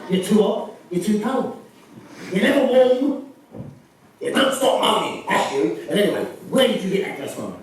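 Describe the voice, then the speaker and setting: A man's voice: sits high, very animated, moderate pace. A man with a Donegal Irish accent playing a gossipy, teasing pantomime dame given to nagging, saucy banter. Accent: Irish, County Donegal. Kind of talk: pantomime stage comedy. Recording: noisy echoey theatre hall from far off.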